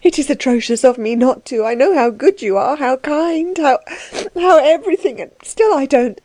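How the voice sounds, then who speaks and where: Female reader as young storybook heroine, high voice, crying, halting, pleading, apologetic, close dry sound. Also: warm tone, no room sound, close to an everyday voice. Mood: sobbing, sorry. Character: tearful young woman, apologetic, pleading, distressed.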